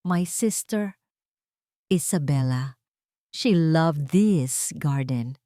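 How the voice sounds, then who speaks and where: Woman reading a reserved professional guy doctor, low-ish voice, dry sound. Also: animated, very slow, warm tone, no room sound, exaggerated voice. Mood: guarded. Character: male doctor, cool and professional, doubtful.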